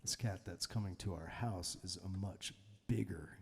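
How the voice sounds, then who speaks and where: A man chatting casually between songs on a live stream from his garage, soft-spoken and rambling, with plenty of fillers. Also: deep voice, moderate pace, gravelly, husky. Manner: rambling, filler-heavy, laid-back storytelling. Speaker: musician hosting a live stream. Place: home garage.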